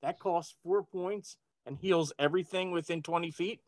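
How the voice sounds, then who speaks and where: A man's voice: high voice, moderate pace, a little mellow, no room sound, clean studio sound. A man chatting casually as a player in a tabletop game. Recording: clearly noisy microphone with clicks.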